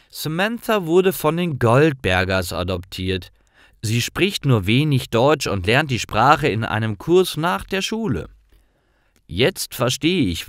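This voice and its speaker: A man narrates a scripted story for language learners at a very unhurried pace. His phrases are short and carefully separated, and his intonation moves widely up and down. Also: mid-range voice, dry recording, clean studio sound.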